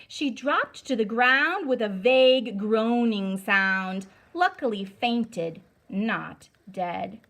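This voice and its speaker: A woman reading a storybook aloud to children as a teacher, deliberate, emphatic and instructive. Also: on the high side, a bit bright.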